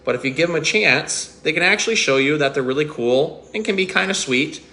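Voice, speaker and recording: A man in his thirties, a zookeeper doing a gentle, chatty children's storytime with occasional fillers. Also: a little high, animated, fairly quick. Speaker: zookeeper reading a picture book. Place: small indoor room with painted wall. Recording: echoey small room.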